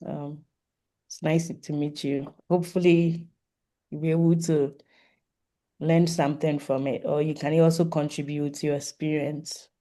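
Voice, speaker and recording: Mellow voice, mid pitch, online forum host, polite, informal moderating, video call. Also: level tone, a little slow. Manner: polite, inviting participants to introduce themselves. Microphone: video call microphone.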